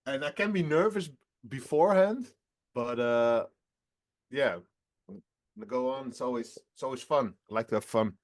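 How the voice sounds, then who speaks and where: A singer in his twenties answering interview questions in a relaxed, halting way, often laughing between phrases. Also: mid-range voice. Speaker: rock band singer, guest.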